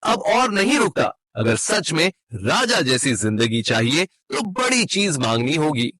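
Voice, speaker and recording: Casting: actor as greedy cartoon villager husband, rough husky voice, very swooping intonation, eager and dramatic. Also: male voice, fairly high pitch, very animated, unhurried, bright, forceful tone, dry recording, heavily acted. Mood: greedy, demanding. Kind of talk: cartoon moral story acting.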